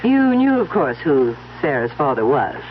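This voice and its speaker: A woman's voice: sits low, big pitch swings, normal speed. An actress playing a genteel older mother who questions people with cool, pointed formality in a radio drama. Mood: cool, polite. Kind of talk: 1970s radio drama acting. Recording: dull lo-fi old radio broadcast, faint noise.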